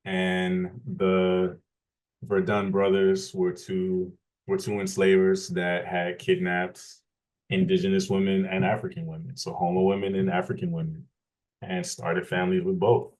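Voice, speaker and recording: Interview guest: man from Louisiana, deep level voice, measured and explanatory. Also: completely flat delivery, darkish tone, poor audio. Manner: measured, frequent restarts and fillers, explanatory. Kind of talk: thoughtful conversational storytelling.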